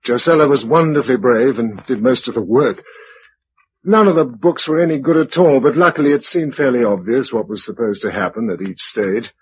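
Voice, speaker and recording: Husky, wry British actor as a survivor husband. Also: male voice, medium pitch, brisk, natural voice. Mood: measured, sober. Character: practical, wry husband keeping a survival journal in a post-apocalyptic world. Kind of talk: British radio drama acting. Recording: dull, lo-fi radio drama recording.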